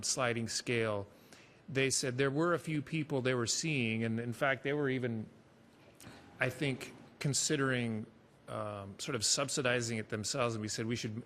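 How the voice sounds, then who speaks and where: A city staff member explaining a program at a committee meeting, with fillers and restarts. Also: male voice, mid-range voice, a bit bright, slight background noise. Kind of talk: meeting discussion. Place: city council meeting room.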